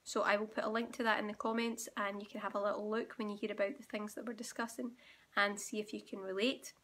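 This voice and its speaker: A woman with a croaky, sore-throated voice, gently explaining spiritual ideas straight to her viewers while talking at home. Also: mid-range voice, little pitch movement, fast talker. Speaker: spiritual awakening guide and channel host. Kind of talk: direct-to-camera teaching monologue.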